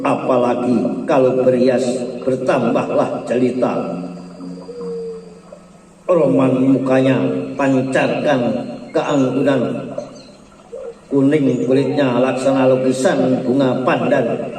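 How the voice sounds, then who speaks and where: Slow, echoey male storyteller over music. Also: medium pitch, level tone. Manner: measured, poetic recitation. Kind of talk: slow serialized story narration. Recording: echoey far-off recording over loud music.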